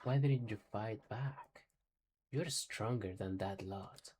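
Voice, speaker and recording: A man's voice: lowish voice, slow pace, a little mellow, slight background noise. A man voicing a fierce, tough old village grandmother who talks in a coarse, blunt, scolding way. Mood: tender.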